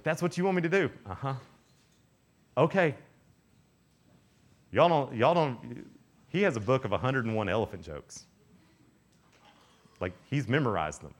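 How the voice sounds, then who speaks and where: A young man preaching to a room of teens in a loose, joking way. His pitch swings widely as he acts out little exchanges and exaggerates for laughs. He sounds relaxed and conversational rather than formal. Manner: animated, joking, acts out quoted voices. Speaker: youth camp speaker. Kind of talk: youth sermon.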